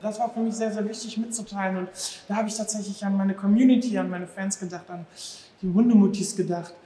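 A man in his thirties, originally from Afghanistan, talking in a lively, animated way as an interview guest, acting out little scenes. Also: high-pitched, fast talker. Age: 30s. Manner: lively, animated, acts out dog owners' exclamations. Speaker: dog behavior therapist, guest. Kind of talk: casual interview talk. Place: large empty restaurant hall, indoors. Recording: echoey hall.

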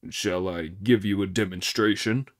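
A man's voice: sits low, steady tone, a little slow, pitched below the performer's own voice. A man voicing a lazy, grumbling pig disciple in an audiobook.

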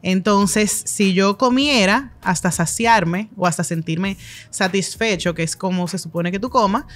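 Lively Dominican woman telling stories. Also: medium pitch. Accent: Dominican Spanish. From Dominican Republic. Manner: animated, gestures a lot, quick asides. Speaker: chef, interview guest. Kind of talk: casual interview storytelling. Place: podcast studio with brick walls and plants. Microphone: studio mic on a boom arm.

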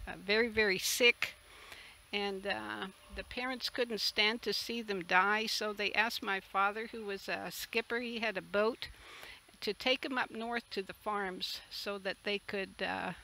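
A woman in her seventies from the Netherlands, a native Dutch speaker, giving a halting, earnest personal testimony. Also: medium pitch, sharp tone, low-quality recording. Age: 70s. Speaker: interviewee, retreat camp owner. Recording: clear background noise, outdoor ambience.

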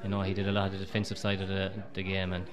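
A man's voice: sits low, monotone, crowd noise. A middle-aged Irish man, a former goalkeeper, talking easily with plenty of "you know" in a pub. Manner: quick, easygoing, lots of "you know". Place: busy pub, indoors.

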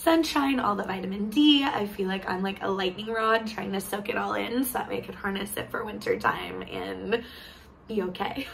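A woman in her thirties, from California, chatting to camera on a balcony for her travel vlog, her voice a little hoarse, laughing often. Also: medium pitch, slight background noise. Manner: bubbly, laughs often, lively hand gestures. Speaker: travel vlogger. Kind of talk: casual chat to camera. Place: sunny balcony outdoors.

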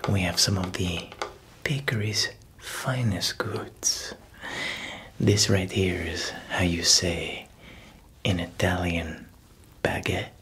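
A man in an ASMR roleplay voicing a proud male baker who whispers slowly, chuckles softly and fumbles for words as if foreign. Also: low voice, full character voice. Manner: whispered, slow, with soft chuckles.

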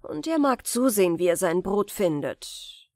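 A woman reader plays an exasperated mother from a storybook. She speaks bluntly and sternly at an unhurried pace, in a dry, close sound. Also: mid-range voice, very animated, mellow, no room sound. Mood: stern, fed up. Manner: stern, outspoken.

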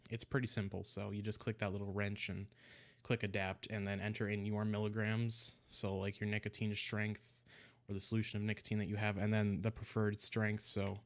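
A young man casually walking through a recipe in a home vlog, sounding a little congested, relaxed and rambling, often restarting his words. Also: on the low side, normal speed. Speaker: e-liquid mixing vlogger. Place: small room at home, indoors. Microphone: desk microphone in front of him.

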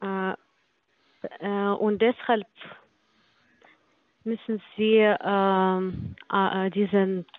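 Halting young woman on a dull phone line. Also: medium pitch, flat delivery, no room sound. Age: 20s. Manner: halting, hesitant, broken phrasing. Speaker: phone caller posing as finance company agent. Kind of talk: phone conversation. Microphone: phone call.

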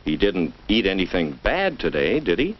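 Expressive deep-voiced 1950s instructional film narrator, male. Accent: American. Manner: measured, addresses the child directly with rhetorical questions. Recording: dull, noisy vintage educational film soundtrack.

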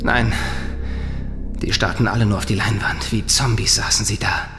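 An actor playing a shaken, indignant eyewitness in a horror audio drama, agitated and halting. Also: male voice, on the low side, steady tone, a bit bright, long reverb tail, a little hiss or hum, lightly acted. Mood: shaken, distressed.

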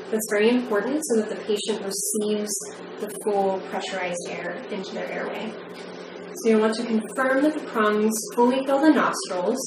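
A woman in her thirties giving a measured, step-by-step training demonstration in the manner of a methodical instructor. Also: medium pitch. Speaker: medical device trainer. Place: small plain indoor room. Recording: echoey room, distant mic, background noise.

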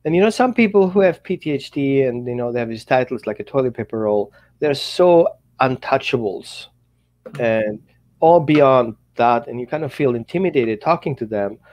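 A man's voice: mid-range voice, normal speed. A man in his fifties, a Greek native speaker with a Greek accent, chatting animatedly on a video call through a headset. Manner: animated, talks with his hands, non-native phrasing. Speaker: dog trainer, co-host. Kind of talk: casual video-call chat.

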